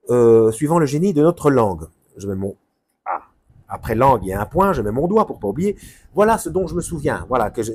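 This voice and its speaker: A man's voice: medium pitch, a little mellow, a little hiss or hum. A man reading a book's preface aloud, stumbling over names and breaking off into rambling, self-correcting asides. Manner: halting, stumbles over names, frequent fillers; muses to himself, loses his thread. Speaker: Bible reader and commentator.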